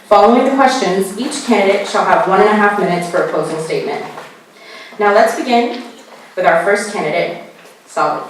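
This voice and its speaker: A woman moderating a candidate forum, reading the ground rules carefully and slowly spelling out web addresses. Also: medium pitch, slight background noise. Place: college meeting room. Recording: echoey hall, voice from far off.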